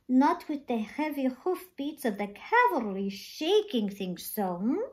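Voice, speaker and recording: A woman's voice: sits high, big pitch swings, measured pace, voice pushed up. A woman voicing a sweet, playful little granddaughter in a read-aloud storybook. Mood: teasing.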